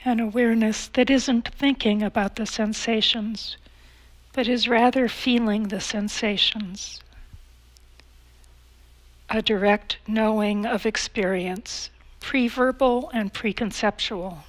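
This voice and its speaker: A woman leading a guided meditation as an instructor, speaking calmly in measured phrases with long pauses. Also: a little high.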